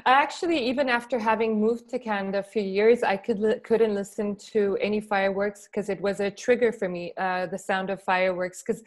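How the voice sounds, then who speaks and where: A woman's voice: mid-range voice, fairly even intonation, a little fast, few pauses. Reflective woman from Lebanon answering questions. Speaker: interview guest, documentary director and producer. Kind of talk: spoken interview answers in a film Q&A.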